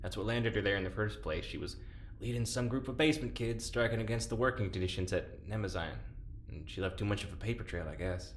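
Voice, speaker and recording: A man playing a guilt-ridden, stubborn prisoner in an audio drama, halting, blunt and naturalistic. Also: lowish voice, close to an everyday voice. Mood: bitter, regretful. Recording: roomy echo, clear background noise.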